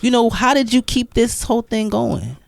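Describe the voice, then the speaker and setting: A man's voice: very high-pitched, animated, normal speed, vocal fry, no room sound, studio quality. A man hosting a casual interview in slangy, informal speech, murmuring agreement as he listens. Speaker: podcast interviewer, host.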